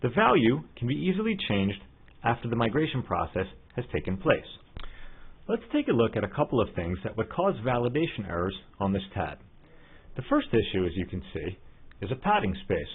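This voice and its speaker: A man narrating a scripted software tutorial as an instructor, in a methodical, step-by-step way. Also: on the low side, sing-song, fairly quick, slightly rough. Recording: muffled, phone-like narrow-band audio.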